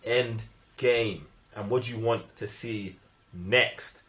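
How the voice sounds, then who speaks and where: A man's voice: fairly low pitch, fairly even intonation, drawn-out words, crowd noise. A man with a London accent in casual, opinionated chat as a review co-host, full of slang, insistent and repeating himself for emphasis. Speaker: co-host of a film review show.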